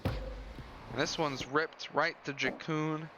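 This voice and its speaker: A man's voice: fairly high pitch, fairly even intonation, slow. A man doing live play-by-play baseball commentary in clipped calls with casual asides. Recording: dull lo-fi audio with loud background noise.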